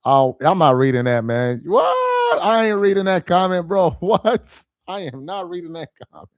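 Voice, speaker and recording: A man's voice: high voice, moderate pace, dry recording. A young man hosting a casual livestream, reading viewer comments aloud, laughing and exasperated, talking straight to his chat. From Nigerian heritage. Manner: animated, laughing, exasperated, talks to chat directly.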